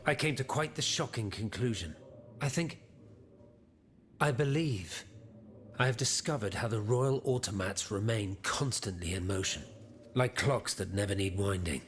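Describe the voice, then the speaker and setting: A grave scholarly father type in a video game, kinda low voice, explains things slowly and seriously. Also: animated, fairly bright tone, slight background noise. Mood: grave, troubled. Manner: solemn, deliberate, explanatory. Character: grave, learned father-inventor, devout and troubled. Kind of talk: video game cutscene acting.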